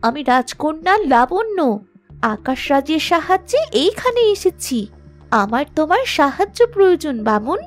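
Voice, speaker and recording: A woman's voice: high-pitched, big pitch swings, measured pace, soft background music. A woman voicing a brave, earnest young princess in a fairy-tale cartoon, speaking expressively. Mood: grateful, eager.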